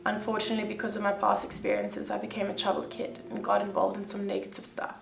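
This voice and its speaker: Very gravelly young woman, roomy and distant. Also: medium pitch, completely flat delivery, fast. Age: young adult. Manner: casual, lots of "like". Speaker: young reader reacting to a story. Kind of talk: reading aloud, then casual reaction. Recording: roomy, distant, with faint music.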